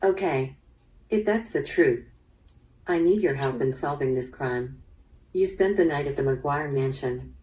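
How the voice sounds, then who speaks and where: Female police interrogator: low, dark voice, businesslike and probing, radio-drama style, a bit off-mic. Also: measured pace. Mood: probing, measured. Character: probing female police detective questioning a murder suspect. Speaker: voice actor in interactive audio drama. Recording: played through speaker, slightly distant.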